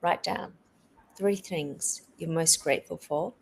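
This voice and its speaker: Woman, interview guest, gravelly voice, plainspoken. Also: low-pitched, slow, a little hiss or hum. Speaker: interview guest, keynote speaker. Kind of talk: conversational interview answers.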